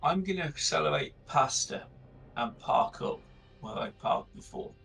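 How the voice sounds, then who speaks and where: A man with a gravelly, husky voice talking casually as a player in a tabletop role-playing game. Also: a little high, animated, measured pace, fairly bright tone, soft background music, poor audio.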